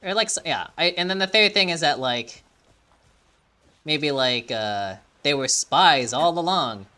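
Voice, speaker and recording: A woman with an unusually deep voice gives let's-play commentary while she plays. Her tone is bright and lively, rising and falling a lot, and she stammers through her thoughts and swears casually. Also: measured pace. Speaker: gaming streamer.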